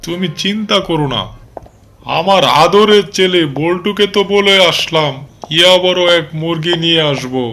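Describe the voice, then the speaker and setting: A man's voice: high-pitched, normal speed, fairly bright tone, faint music behind. A man voicing a boastful, sly father fox who dotes on his son in a cartoon. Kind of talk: animated storybook cartoon dialogue.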